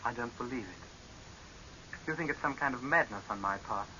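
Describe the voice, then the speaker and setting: An actor playing a cold, sardonic young saboteur in 1930s radio horror drama style, speaking in a measured, menacing way. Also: male voice, mid-range voice, lively intonation, lightly acted. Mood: mocking, cold. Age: young adult. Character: cold, mocking young male saboteur, calmly vengeful. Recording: hissy, dull 1930s radio recording.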